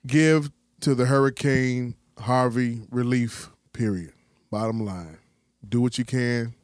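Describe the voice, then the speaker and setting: A man's voice: medium pitch, slow pace, dry recording, clean studio sound. A man with an American accent co-hosting a casual sports podcast, talking in a challenging, questioning, slangy way. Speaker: podcast host. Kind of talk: casual sports debate chat.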